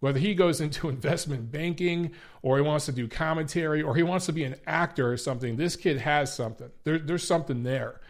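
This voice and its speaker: A man's voice: on the high side, fairly quick, hardly any pauses. A man hosting a boxing podcast, speaking casually to his viewers, his voice a little stuffy and congested, repeating phrases and often saying "guys" and "uh". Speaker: podcast host.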